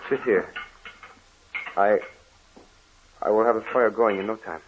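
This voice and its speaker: An actor playing a kindly, lonely Dutch man who speaks gently and earnestly in an old-time radio drama. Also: on the low side, unhurried, lightly acted. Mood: tender. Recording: dull lo-fi old radio recording with faint hiss.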